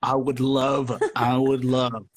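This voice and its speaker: A man's voice: medium pitch, level tone, takes it slowly. A man chatting casually as an interview guest, talkative and self-deprecating, on a live video call. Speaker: guest, audio drama podcast maker.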